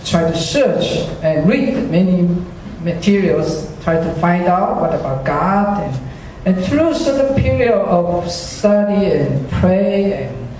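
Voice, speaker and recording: A man's voice: high voice, expressive, slow pace, few pauses, a little mellow, slight background noise. A man from China giving a personal faith testimony to a church audience, hesitant with many fillers and frequent self-deprecating chuckles. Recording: echoey hall, speaker far from mic.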